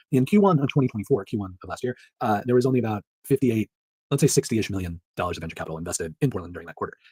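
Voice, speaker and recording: A man hosting a solo startup news recap in a chatty, upbeat way, his voice rough, nasal and stuffed up from allergies. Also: medium pitch, dry recording. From Pacific Northwest. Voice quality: nasal, monotone. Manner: upbeat, chatty, laughs between items.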